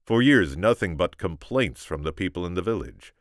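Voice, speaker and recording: A man reading a story aloud as expressive narration, theatrical and deliberate. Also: sits low, dry recording, clean studio sound. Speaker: amateur audiobook reader.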